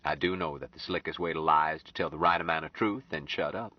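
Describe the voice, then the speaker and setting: A man reading an audiobook, voicing a gruff older man who speaks dryly and bluntly. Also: very deep voice, fast talker. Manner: dry, blunt, grumbling. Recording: slightly dull lo-fi audio.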